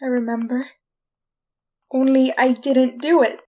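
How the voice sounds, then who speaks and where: High-voiced condemned prisoner in radio drama. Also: female voice, fairly even intonation, darkish tone, muffled recording. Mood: defensive, shocked. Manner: pleading, folksy, halting. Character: frightened condemned prisoner Luis, pleading innocence before hanging. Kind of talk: retro radio drama acting.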